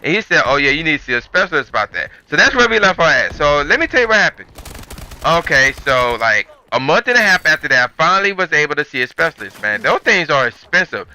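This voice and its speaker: A man's voice: fairly high pitch, sing-song, fast talker, sharp tone, clear, resonant tone. A man telling a story as a channel host, in a rambling, conversational, slangy way. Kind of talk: casual storytelling commentary.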